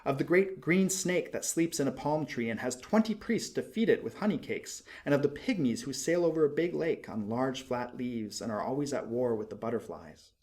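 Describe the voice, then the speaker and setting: A man narrating a literary story expressively, with measured, deliberate phrasing. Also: medium pitch, expressive, darkish tone. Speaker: audiobook narrator.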